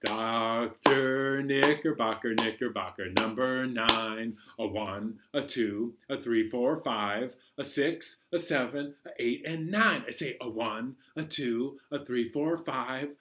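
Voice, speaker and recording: A man's voice: medium pitch, slow pace, hardly any pauses, background noise. A middle-aged man hosting a children's storytime with rhythmic, playful delivery. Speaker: library storytime host. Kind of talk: children's songs and picture-book reading. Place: living room at home.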